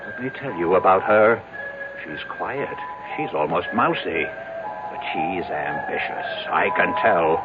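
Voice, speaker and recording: A domineering businessman gripped by paranoia in an old radio thriller. His speech swings widely in pitch, breaking into repeated, faltering outbursts. It comes through a thin, tinny vintage broadcast with music behind it. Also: animated, a little slow. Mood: accusing. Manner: agitated, repetitive, faltering outbursts. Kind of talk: old-time radio drama acting.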